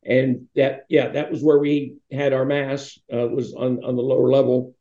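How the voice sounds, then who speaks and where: An American man in his sixties with a very dark, mellow voice recounts memories as an interview guest. He rambles from one anecdote to the next, and the sound is echoey and a little dull. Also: medium pitch, level tone, moderate pace. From Pittsburgh, United States. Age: 60s. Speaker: interview guest, foundation founder. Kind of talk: reminiscing in a conversational interview. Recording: dull, roomy, echoing sound.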